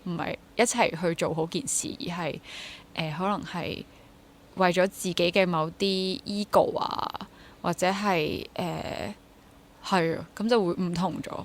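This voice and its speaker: A woman's voice: fairly low pitch, fairly even intonation, measured pace. A woman in her twenties with a Hong Kong Cantonese accent, talking casually as an interview guest, reflective and rephrasing as she goes, mixing in English words. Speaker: interview guest, freelance actor and drama teacher.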